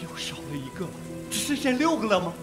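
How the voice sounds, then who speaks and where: An actor in a radio drama playing a suspicious, blunt police detective who probes and is easily rattled. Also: male voice, high-pitched, big pitch swings, fast talker, music in the background. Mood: uneasy.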